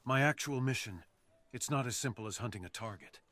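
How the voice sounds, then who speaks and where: A man's voice: mid-range voice, brisk, fairly bright tone, no echo, close to an everyday voice. A man playing a fox assassin in an ASMR roleplay, speaking theatrically and intimately to the listener, savoring his phrases. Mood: courteous, gentle. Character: menacing, sadistic male fox assassin with a remorseful streak. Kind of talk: ASMR roleplay, close dramatic monologue to the listener.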